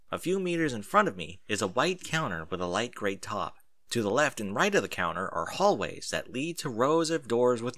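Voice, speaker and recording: A man narrating a first-person story in an expressive reading style. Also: medium pitch.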